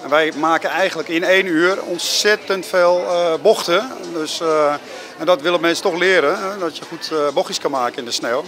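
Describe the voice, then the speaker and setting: A man managing a ski school, giving a promo interview in a halting way with many fillers. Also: on the high side, fairly bright tone.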